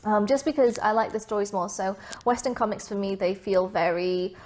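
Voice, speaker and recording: A woman's voice: medium pitch, steady tone, moderate pace, a little mellow. A young woman from Vietnam, raised abroad, answering interview questions in a chatty, casual way with many fillers and asides.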